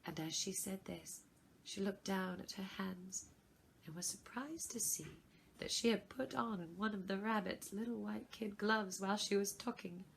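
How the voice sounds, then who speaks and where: A woman narrating a children's story expressively, with deliberate, dramatic phrasing. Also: mid-range voice, vocal fry, a little hiss or hum, low-quality recording. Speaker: volunteer story reader.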